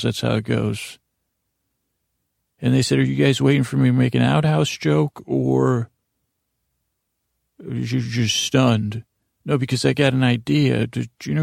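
Very gravelly, meandering male podcast host. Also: mid-range voice, a little mellow, no room sound, clean studio sound. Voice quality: gravelly, creaky. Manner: meandering, halting, self-interrupting. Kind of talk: rambling improvised podcast monologue.

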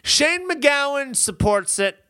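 Female podcast co-host, emphatic and opinionated, lively intonation, leisurely delivery, swears casually. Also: high voice, measured pace, no room sound, clean studio sound. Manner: sweary, mock-outraged, emphatic.

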